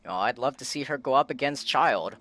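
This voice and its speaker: A man's voice: medium pitch, animated, a little fast, pushed and bright, soft background music. A man reacting casually to a game as a streamer, chatting in an informal way.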